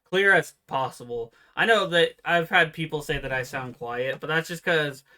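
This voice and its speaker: A man co-hosting a casual podcast, with a naturally quiet voice, explaining card game rules in a relaxed, meandering way. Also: fairly high pitch, normal speed. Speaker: podcast co-host. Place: home room with fan noise removed by software.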